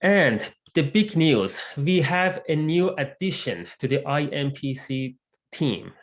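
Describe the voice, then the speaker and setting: A man who is not a native English speaker, presenting his research group's work in an online meeting, measured and with frequent fillers. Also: medium pitch. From immigrant to Canada. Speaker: research lab lead presenting. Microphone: computer mic on video call.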